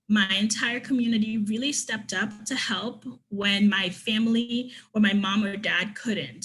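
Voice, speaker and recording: Bright-voiced woman from Trinidad presenting. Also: medium pitch, poor audio. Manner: earnest, reads out participants' comments and answers each one warmly. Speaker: conference presenter. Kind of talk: online workshop talk.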